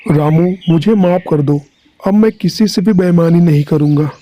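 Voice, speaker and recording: High-voiced, crafty village merchant played by a man. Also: normal speed, highs cut off, lightly acted. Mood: apologetic.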